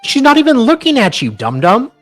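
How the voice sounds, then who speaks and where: A man's voice: very high-pitched, huge pitch swings, a little fast, a bit bright, no echo, soft background music. A man co-hosting a dramatic reading and commentary, with a theatrical, mocking way of talking. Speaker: co-host.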